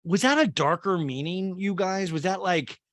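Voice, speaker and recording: A man's voice: high voice, normal speed, a bit bright, no room sound. An American man hosting a podcast, giving a casual comic recap in a rambling, self-interrupting way. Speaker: podcast host.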